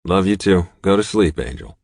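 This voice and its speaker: A man playing a deep-voiced, blunt biker. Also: animated, moderate pace, creaky, no echo, studio quality. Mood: concerned, protective. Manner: barking, blunt. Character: tough, hot-tempered male biker, protective lover.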